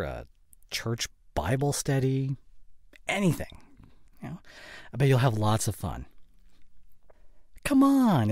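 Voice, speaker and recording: Man acting as slow-talking, animated young gamer boyfriend. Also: mid-range voice, no echo, studio quality, full character voice. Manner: casual, slangy, coaxing, drawn-out phrasing. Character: forgetful, dismissive young gamer boyfriend, breezy with his buddies. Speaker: voice actor. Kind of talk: boyfriend audio roleplay, naturalistic acting.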